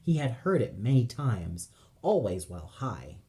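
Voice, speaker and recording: A man's voice: medium pitch, big pitch swings, measured pace, warm tone. A man narrating an audio play in a dramatic scripted style, solemn and theatrical.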